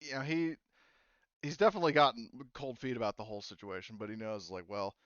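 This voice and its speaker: A man's voice: medium pitch, quick pace, fairly bright tone, no echo. Male game master, mid-range voice, rambling and chatty, dry slightly dull recording. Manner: rambling, many fillers and restarts. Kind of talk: narrating a tabletop game.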